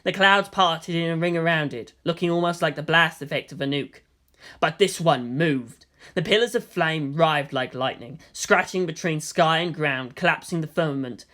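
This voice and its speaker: A woman's voice: low voice, moderate pace, a bit bright. A woman narrating fan fiction in a dramatic storytelling style.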